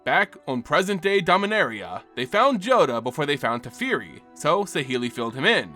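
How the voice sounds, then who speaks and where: A man's voice: a little high, big pitch swings, fairly quick, bright, forceful tone. A man giving a dramatic scripted narration of a fantasy story in a theatrical storytelling manner. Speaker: narrator of a lore channel. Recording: music bed under the voice. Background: background music.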